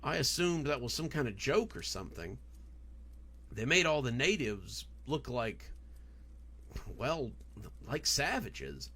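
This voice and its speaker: A blunt, uneasy man in a horror tale, voiced by a male narrator. He questions people in a lively, swinging pitch with a slightly creaky texture. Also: slow, pauses often, exaggerated voice. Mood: incredulous, uneasy. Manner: questioning, blundering.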